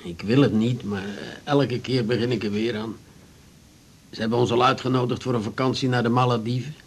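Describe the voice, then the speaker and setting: An actor playing a meek, grieving widower in a 1980s radio drama, halting and low-spirited, with a gravelly, husky voice. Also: male voice, steady tone, natural voice. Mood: pained, aggrieved. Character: grieving, hesitant widower who drinks, meek and wounded.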